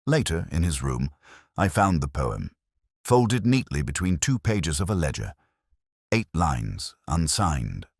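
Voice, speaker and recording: A man reading a scripted first-person detective story as its narrator, in a measured, reflective, literary manner. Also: a bass voice, very animated, husky, no room sound, clean studio sound.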